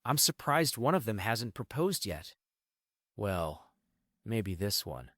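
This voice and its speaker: A man's voice: on the low side, big pitch swings, takes it slowly, a bit bright, dry recording, clean studio sound, full character voice. A man playing a playful teenage boy who teases his best friend with mock formality. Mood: teasing. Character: teasing, playful thirteen-ish boy, girl's best friend.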